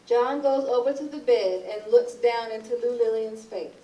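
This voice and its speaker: A woman's voice: on the high side, normal speed, dark tone. A woman reading stage directions as scripted narration for a readers theatre play. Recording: roomy, distant, noisy recording.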